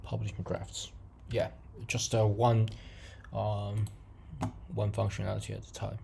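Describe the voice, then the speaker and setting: A man whose first language is not English walks through a coding tutorial in a low, gravelly, mellow voice. He talks haltingly, full of fillers and restarts, and chuckles often. Faint room hiss and keyboard typing sit behind him. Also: completely flat delivery. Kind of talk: casual screen-recorded coding tutorial.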